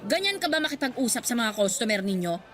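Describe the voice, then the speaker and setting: Snobbish, insulting female haggler, middle-aged; high, highly animated radio drama delivery. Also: fast, soft background music. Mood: scornful. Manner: haughty, scornful, nitpicking. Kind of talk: Tagalog radio drama acting.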